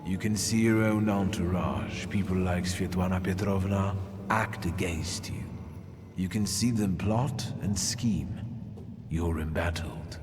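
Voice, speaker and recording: An actor playing a resolute, menacing mystic who leads rebels in a video game, speaking in a commanding, deliberate manner. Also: male voice, low-pitched. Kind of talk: dramatic game dialogue. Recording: long hall reverb, loud music.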